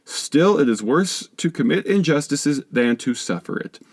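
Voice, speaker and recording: Formal man reading with lively, swooping intonation. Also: fairly high pitch, a little slow, breathy edge, no room sound, studio quality. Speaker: audiobook reader. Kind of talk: scripted narration, reading a philosophy text aloud.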